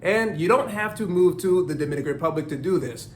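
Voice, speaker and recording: A man's voice: fairly high pitch, expressive, fast, a little hiss or hum. A young man teaching Spanish learning resources in a scripted tutorial, friendly and explanatory, slipping Spanish phrases into his English. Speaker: language-learning YouTuber.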